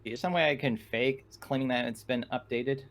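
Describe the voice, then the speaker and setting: A man's voice: mid-range voice, a little fast, fairly bright tone. A man playing a tabletop roleplaying game, chatting casually and searching for his words.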